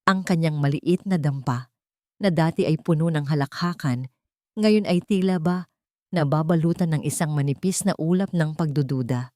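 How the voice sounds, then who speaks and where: A woman narrates a dramatic love story in a fairly low voice. The recording is dry and close, with no room around her scripted storytelling. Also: moderate pace, dark tone, dry recording.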